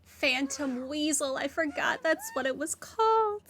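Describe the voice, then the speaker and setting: High-pitched woman commenting on a game. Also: expressive, a little slow, fairly bright tone. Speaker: game streamer. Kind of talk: casual playthrough commentary.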